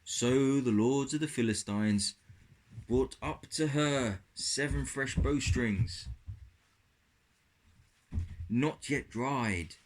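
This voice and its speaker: A man reading scripture as a storyteller for children, in a deliberate, halting way. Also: medium pitch, a little hiss or hum, rough recording. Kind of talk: slow scripted Bible reading.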